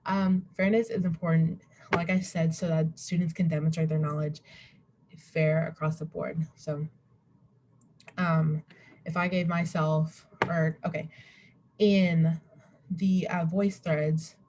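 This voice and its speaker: A young woman with a soft, dark-toned voice talks through a student presentation. She rambles a little and corrects herself in an informal way. Her pitch sits on the low side, and a faint noise runs behind her webcam recording. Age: young adult. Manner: rambling, self-interrupting, chuckles at herself.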